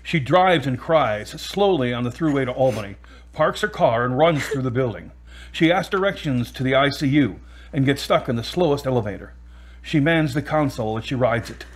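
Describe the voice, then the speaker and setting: Husky male narrator reading scene directions. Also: medium pitch, lively intonation, moderate pace. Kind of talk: scripted narration of stage directions.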